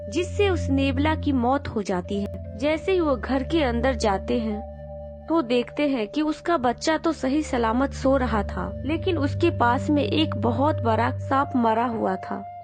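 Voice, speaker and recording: A woman narrates a scripted moral tale in a high voice. She reads it slowly, with music clearly playing behind her.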